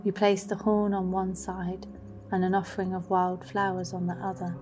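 A woman narrating a scripted guided meditation in a deliberate storytelling manner. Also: medium pitch, completely flat delivery, background noise. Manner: slow, deliberate storytelling, at times dropping into whispers. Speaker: guide of a meditative sound journey. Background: music.

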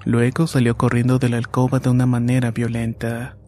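Male horror narrator, dark and mellow timbre, monotone and steady, faint music bed. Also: mid-range voice, fairly quick. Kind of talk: scripted horror story narration.

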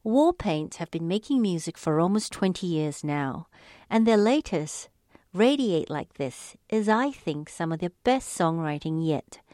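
Low-voiced woman host, dry mic. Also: expressive, unhurried, darkish tone, dry recording. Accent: mixed, partly Australian. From Singapore. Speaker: interviewer. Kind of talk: scripted narration and interview questions.

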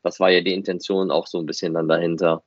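Low-voiced man in dry, casual chat. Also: flat delivery, fast, no room sound, highs cut off. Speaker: fan podcast co-host.

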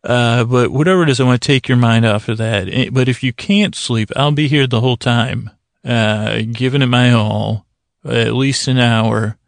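A man hosting a bedtime podcast in a meandering, halting monologue, gently silly and self-deprecating, with words repeated and thoughts drifting off into tangents. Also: medium pitch, dry recording, studio quality. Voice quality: gravelly, creaky. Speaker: podcast host. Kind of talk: rambling bedtime monologue to help listeners sleep.

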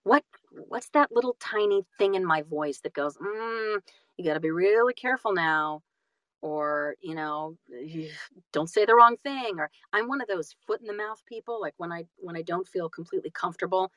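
A woman's voice: fairly low pitch, normal speed, fairly bright tone, dry recording. A middle-aged woman chatting casually on a podcast, self-deprecating, often restarting and repeating her phrases. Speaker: audiobook narrator and guest.

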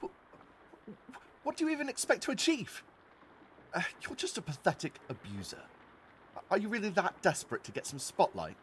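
An actor playing a sanctimonious young activist influencer held captive, preachy and indignant, in an audio drama. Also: male voice, high voice, wildly swooping pitch, normal speed, pauses often, a bit bright, slight background noise, full character voice.

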